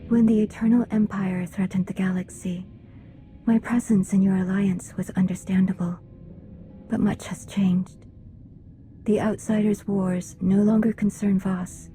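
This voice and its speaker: A woman plays a solemn alien envoy in a game cutscene, her voice very dark and mellow. She is reserved and formal, measuring out each sentence with restraint. Music plays behind her. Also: medium pitch, flat delivery, unhurried, lightly acted. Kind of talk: video game cutscene dialogue.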